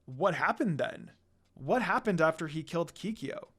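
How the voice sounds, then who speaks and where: A man voicing a kind young female wolf-demon princess in a gentle, soft manner for a story reading. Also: on the high side, animated, normal speed, breathy edge, exaggerated voice. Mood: curious, shy.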